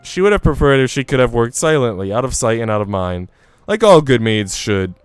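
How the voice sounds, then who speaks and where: Man reading as a modest young housemaid, clear-voiced. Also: medium pitch, sing-song, a little fast, dry recording, soft background music, natural voice. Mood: wistful, self-deprecating. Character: young housemaid hesitantly telling her own story. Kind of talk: visual novel dialogue read aloud.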